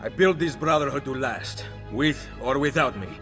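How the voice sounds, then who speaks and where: An actor playing a grave, resolute Italian master assassin in a video game, measured and commanding, with an Italian accent. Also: male voice, mid-range voice, music in the background, close to an everyday voice. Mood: brooding. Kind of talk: video game cutscene acting.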